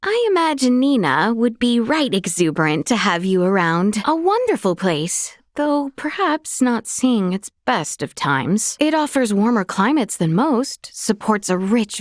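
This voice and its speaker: A woman playing a gracious, earnest young princess knight in a fantasy video game, speaking with formal, courtly, measured diction. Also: mid-range voice, no echo, clean studio sound. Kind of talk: video game character dialogue.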